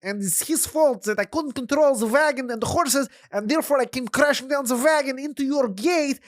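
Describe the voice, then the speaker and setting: A man voicing a simple, excitable male wagon driver who speaks broken English in a raised voice. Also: brisk, no echo, clean studio sound. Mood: pleading. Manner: broken English, raised pitch, talks in a rush.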